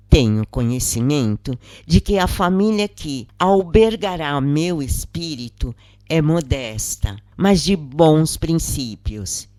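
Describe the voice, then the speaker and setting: A narrator reads a scripted first-person testimony in a solemn, measured manner with deliberate pauses. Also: medium pitch, darkish tone, no echo.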